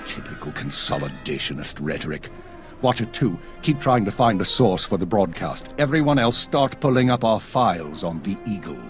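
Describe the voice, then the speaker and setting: An actor playing a stern middle-aged spymaster of an empire in a video game, authoritative, clipped and measured. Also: male voice, low-pitched, big pitch swings. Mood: grim, brisk. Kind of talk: dramatic game voice acting. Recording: music behind the voice.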